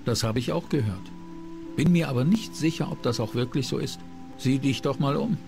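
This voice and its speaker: Guy playing weary mapmaker in a game, gravelly mid voice, polite sales talk, music behind. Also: medium pitch, normal speed, lightly acted. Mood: disillusioned. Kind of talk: video game character dialogue. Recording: music under the voice.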